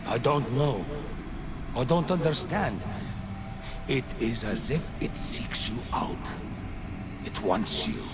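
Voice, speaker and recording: A man playing a grave, ominous mystic in a fantasy game, speaking in a portentous, deliberate way. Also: medium pitch, expressive, very noisy. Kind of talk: fantasy video game cutscene acting. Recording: loud music under the dialogue.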